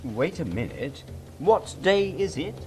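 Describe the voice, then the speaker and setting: Man as earnest angel, highish pitch, very animated intonation, skeptical, chiding, audio drama. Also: a little slow, faint music behind. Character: earnest, questioning angel, fond of humans.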